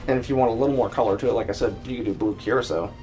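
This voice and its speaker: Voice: medium pitch, racing, darkish tone, soft background music. A game streamer who dubs characters, chatting casually during a stream.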